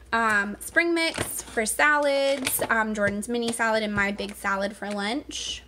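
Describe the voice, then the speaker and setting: A woman's voice: fairly high pitch, bright, forceful tone, a little hiss or hum. A woman chatting casually as she narrates grocery items for a home vlog, speaking from behind the camera. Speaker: vlogger showing a grocery haul.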